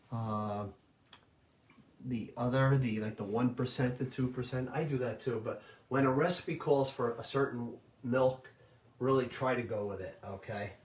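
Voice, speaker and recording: Middle-aged new guy teaching a cooking class online, loud and chatty, echoey room, mic kinda far. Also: medium pitch. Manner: loud, chatty, talks straight to viewers. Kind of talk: live virtual cooking class.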